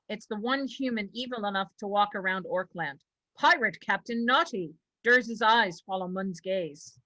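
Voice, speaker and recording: A woman's voice: medium pitch, bright, forceful tone, poor audio. A woman reading scripted narration and stage directions for a fantasy table read.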